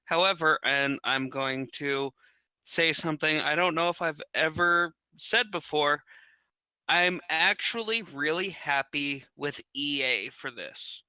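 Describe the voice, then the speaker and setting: Guy podcast guest, slightly high bright voice, dry close sound, measured casual talk. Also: smooth, dry recording, poor audio. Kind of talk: casual discussion.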